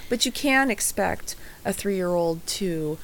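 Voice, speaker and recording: A woman's voice: mid-range voice, lively intonation, slow, fairly bright tone, a little hiss or hum, rough recording. A woman in a casual group conversation who talks earnestly and rambles, with many fillers.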